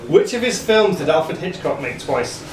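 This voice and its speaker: A man's voice: fairly high pitch, sing-song, normal speed. Echoey, distant male quizmaster. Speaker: quizmaster reading questions. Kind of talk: live pub quiz question reading. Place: pub function room. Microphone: distant room microphone. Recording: echoey room, distant mic, crowd noise.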